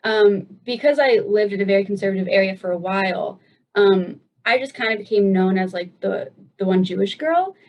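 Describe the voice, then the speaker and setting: A woman's voice: mid-range voice, moderate pace. A woman in her twenties from the United States, a guest telling her story in a loose, chatty way with plenty of "um" and "like". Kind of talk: casual personal storytelling. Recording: dull lo-fi sound.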